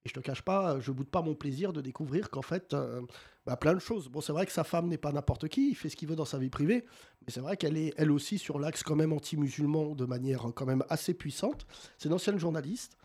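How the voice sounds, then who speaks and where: A man hosts a live comedy talk show, teasing guests and the crowd with quick jokes. His voice sits a little high for a man. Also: darkish tone, slightly rough. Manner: teasing, rapid-fire jokes with audience. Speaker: host.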